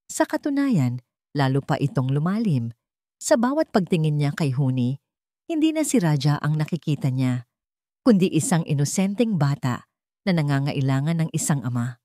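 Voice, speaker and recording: Female story narrator, low register, highly animated delivery, emotive and measured, dry sound. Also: dry recording. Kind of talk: dramatic scripted story narration.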